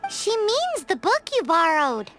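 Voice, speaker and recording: A woman voicing a tiny, excitable fairy companion who talks about herself in third person. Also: very high voice, measured pace, heavily acted. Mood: explaining. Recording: game cutscene with music behind.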